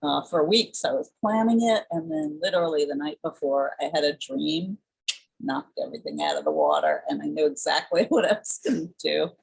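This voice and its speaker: A woman artist presenting her work on a video call, enthusiastic, with big swings in pitch. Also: very animated, brisk, vocal fry, darkish tone, breathy edge, low-quality recording.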